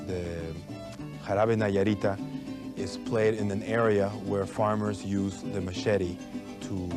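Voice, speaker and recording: Low-voiced man explaining folk music. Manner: explanatory, thoughtful, some restarts. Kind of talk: documentary interview.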